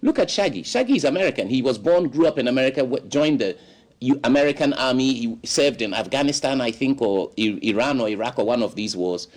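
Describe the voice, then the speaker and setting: Animated man, lively storyteller. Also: mid-range voice, fast. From Ghana. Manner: expansive, animated storytelling with many "you know"s. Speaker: guest. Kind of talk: studio interview.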